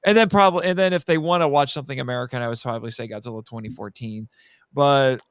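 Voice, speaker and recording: A man's voice: mid-range voice, fast talker, smooth, no room sound. A man talking casually on a podcast as a guest expert, explaining enthusiastically and wandering into side points.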